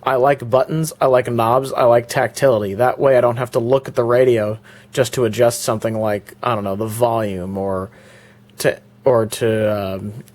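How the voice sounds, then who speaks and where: Man, casual vlogger, rambling with fillers, medium pitch. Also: fairly even intonation, normal speed, darkish tone. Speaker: car stereo reviewer. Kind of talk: casual product walkthrough vlog. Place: inside a parked car; indoors before going to the car.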